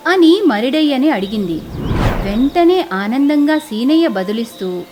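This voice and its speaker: A woman's voice: high-pitched, lively intonation, a little slow, background noise. A woman narrating a scripted children's moral story as a storyteller.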